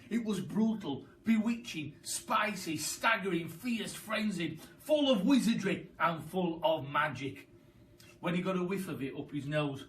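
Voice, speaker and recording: Bright, high-voiced man reading a children's story. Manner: expressive, dramatic, emphatic. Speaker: storyteller, primary school teacher. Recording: far from the mic, faint background noise.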